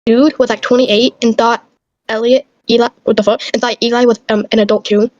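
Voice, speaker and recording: A woman's voice: on the high side, level tone, fairly quick, a little mellow, no room sound, close to an everyday voice. A woman playing an indignant, scolding gossip in casual banter, recorded on a dull, dry mic.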